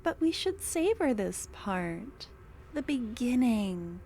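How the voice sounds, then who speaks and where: A young woman voicing an obsessive, possessive female cultist in an ASMR roleplay. She talks in a soft, close murmur that drops into whispers, and her tone is doting and unsettling. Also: a little high, sing-song, slow pace, background noise, full character voice.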